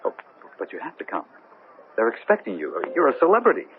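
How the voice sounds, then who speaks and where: A man's voice: medium pitch, huge pitch swings, a little fast. An actor playing an insistent, self-important male fiancé in a 1970s radio drama, urgent and pleading in manner. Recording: noisy, thin, phone-like old radio broadcast.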